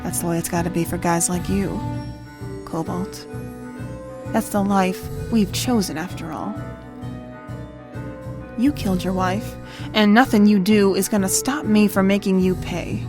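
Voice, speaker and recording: A woman's voice: medium pitch, measured pace, pauses often, lightly acted. A woman voicing a bookish pony who dreams of writing novels, in an audio drama. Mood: hard-boiled, menacing. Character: bookish filly pony, later a brash aspiring novelist. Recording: music behind the voice.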